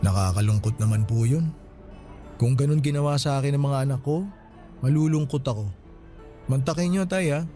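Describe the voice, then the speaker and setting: Casting: male actor as earnest younger friend, soft mellow tone, respectful and sympathetic, radio drama. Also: medium pitch, moderate pace, long pauses, soft background music, lightly acted. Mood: concerned. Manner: polite, earnest.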